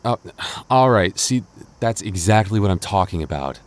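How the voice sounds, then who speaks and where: A man's voice: on the low side, lively intonation, normal speed, close to an everyday voice. A man playing a clingy, jealous boyfriend in an intimate audio roleplay, stammering and mock-indignant but affectionate. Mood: embarrassed, smitten.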